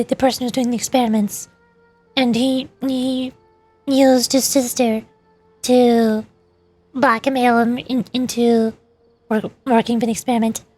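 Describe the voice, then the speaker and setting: A woman's voice: fairly high pitch, a little mellow. A woman streaming a game, giving casual, rambling commentary as she thinks aloud. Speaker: streamer, VTuber.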